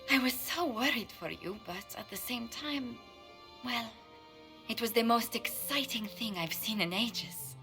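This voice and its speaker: A woman's voice: a little high, a little slow, sharp tone. A woman playing an elegant, devoted noblewoman ambassador in a video game, speaking in a tender, formal way. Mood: fond, worried. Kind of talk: video game cutscene acting. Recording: background music under the voice.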